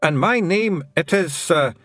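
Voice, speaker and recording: A man voicing a tiny, rowdy male fairy clan chief with a put-on Scottish brogue, boisterous and shouty. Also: a little high, expressive, a little slow, dry recording, soft background music, pitched above the performer's own voice. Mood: rowdy, pleading. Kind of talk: audiobook character voice.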